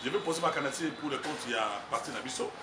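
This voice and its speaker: A man's voice: fairly high pitch, very fast. A man with a Congolese French accent, a political candidate speaking emphatically and rambling, slipping into Lingala. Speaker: political candidate, party communications secretary. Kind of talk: street interview. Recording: roomy, distant mic, noisy background.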